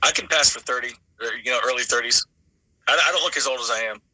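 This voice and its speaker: A man's voice: medium pitch, fast talker, sharp tone, no echo, rough recording. An American man from Florida in his late thirties, chatting casually in slangy, informal speech. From Florida, United States. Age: late 30s. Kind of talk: casual chat.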